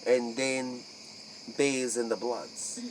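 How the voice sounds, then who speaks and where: Man acting a slow, halting Transylvanian vampire. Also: medium pitch, fairly even intonation, natural voice, rough recording. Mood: solemn. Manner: halting, repetitive broken English. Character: solemn Transylvanian male vampire from elite ancient clan. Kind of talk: improvised spoof interview role play. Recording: faint noise, chirping insects.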